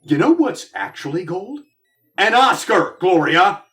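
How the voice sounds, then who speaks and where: A man's voice: sits high, very animated, unhurried, sharp tone, soft background music, heavily acted. A man playing a vain, aggrieved actor with a melodramatic, indignant manner in a comedic audio drama. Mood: outraged, wounded. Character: vain, aggrieved actor brother, theatrical and petulant.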